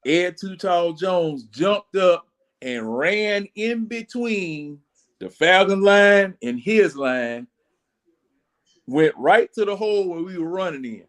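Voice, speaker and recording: A man's voice: sits high, lively intonation, takes it slowly, fairly bright tone. A man in his sixties chatting casually about sports as a panelist, rambling and folksy in his storytelling. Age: 60s. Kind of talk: casual football fan chat.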